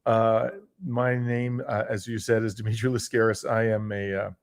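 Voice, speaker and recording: Canadian man, sixties, low voice, articulate, long-winded, reflective interview answers, pauses mid-sentence. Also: level tone. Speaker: interview guest, lawyer. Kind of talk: reflective autobiographical interview talk.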